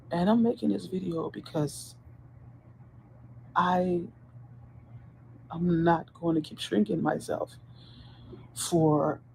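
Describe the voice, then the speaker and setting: A woman's voice: deep voice, vocal fry, dark tone, a little hiss or hum, low-quality recording. Earnest woman on a personal video. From Dominican Republic. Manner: earnest, emphatic, restarts and repeats phrases. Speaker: corrections officer. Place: on duty at a hospital post.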